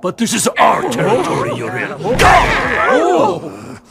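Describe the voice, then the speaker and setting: A man's voice: very high-pitched, huge pitch swings, slow pace, vocal fry, fairly bright tone, full character voice. An actor playing a stern wild ram colonel who leads his herd with terse authority. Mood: commanding.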